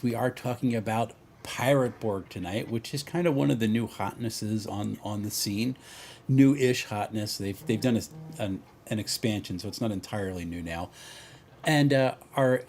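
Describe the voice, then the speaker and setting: A man's voice: mid-range voice, a little mellow. A man hosting a casual livestream chat and introducing his guests. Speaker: host.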